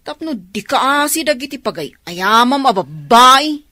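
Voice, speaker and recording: A woman's voice: sits high, animated, moderate pace, pushed and bright, dry recording, studio quality, exaggerated voice. A woman acting a helpful, candid young go-between with an Ilocano Filipino accent in a radio drama. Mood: frank.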